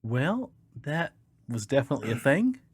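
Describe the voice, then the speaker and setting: A man's voice: medium pitch, very animated, normal speed, husky, dry recording. A man with an American accent hosting a casual comedy podcast. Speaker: podcast host.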